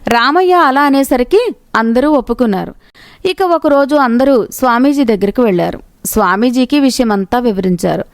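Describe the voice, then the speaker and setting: A woman narrates a moral tale from a script. Her voice sits a little high. The sound is dry, with very little room on it. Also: expressive, normal speed, no room sound. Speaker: storyteller narrator.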